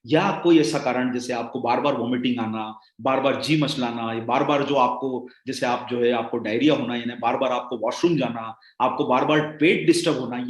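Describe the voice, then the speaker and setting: A man giving a motivational sales training talk, rousing and calling his listeners friends, his voice swinging between loud and hushed. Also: mid-range voice, roomy sound.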